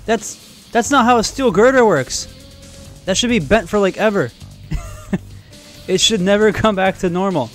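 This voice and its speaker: A man streaming live and joking through a game, his voice cracking and going hoarse, on a headset mic. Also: high-pitched, lively intonation, fairly bright tone. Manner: joking, sarcastic, quick asides, giggly. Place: home streaming room, indoors. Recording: game music in background.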